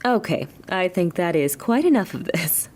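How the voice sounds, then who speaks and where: A woman's voice: on the low side, sing-song, normal speed, darkish tone, a little hiss or hum. A woman playing a firm hunter mother. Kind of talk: hobby radio drama acting.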